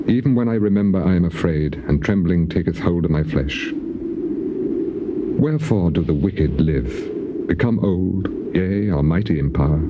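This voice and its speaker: An actor playing an anguished, embittered man defending himself in a dramatized scripture reading, with grave, emphatic rhetorical delivery. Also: deep voice. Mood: pleading, troubled. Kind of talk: dramatized King James scripture, theatrical declamation. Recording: dull, very noisy old cassette tape.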